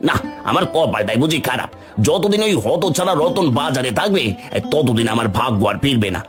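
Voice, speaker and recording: A jealous, scheming village fish seller from a cartoon folktale, played by a man. His middle-pitched voice swings widely as he grumbles and exclaims. Music runs behind him. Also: very animated, a little fast, natural voice. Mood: grumbling, envious.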